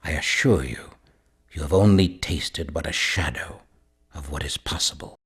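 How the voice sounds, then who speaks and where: Malthus, deep, husky mastermind. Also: male voice, a bit bright, heavily acted. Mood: grandiose, tempting. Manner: grandiose, deliberate, coolly manipulative. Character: Malthus, menacing wealthy male mastermind of a racing program. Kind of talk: scripted video game villain dialogue.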